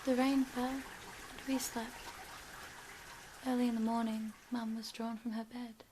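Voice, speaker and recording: A woman's voice: a little high, little pitch movement, unhurried, noisy background, lightly acted. Wistful woman playing a young woman remembering, rain behind. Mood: wistful, ominous. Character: young woman wistfully recalling her childhood. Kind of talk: radio play narration.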